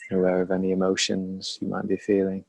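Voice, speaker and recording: Low, even-voiced male meditation guide. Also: creaky, no echo. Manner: calm, unhurried, measured phrases. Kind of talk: guided meditation, slow and pausing.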